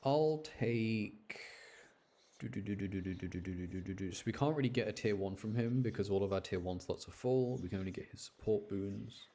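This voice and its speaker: A man's voice: fairly low pitch, a little fast, warm tone. A man giving casual, enthusiastic gameplay commentary in a rambling, slangy way. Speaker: game streamer.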